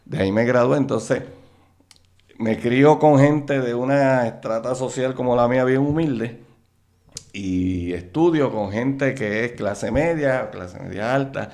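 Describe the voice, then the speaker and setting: A middle-aged Puerto Rican man, a guest on a talk show, telling stories from his youth in a relaxed way and laughing often as he remembers. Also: mid-range voice, unhurried. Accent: Puerto Rican Spanish. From Puerto Rico. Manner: laughs often while recalling old times. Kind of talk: casual storytelling interview.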